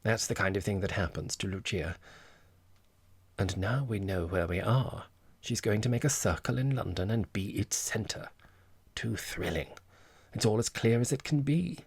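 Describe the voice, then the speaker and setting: A man voicing a fussy, gossipy, observant gentleman with a precise, confiding manner, in an audiobook. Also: fairly low pitch, normal speed, husky, no room sound. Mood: confiding, thrilled. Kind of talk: audiobook character voice.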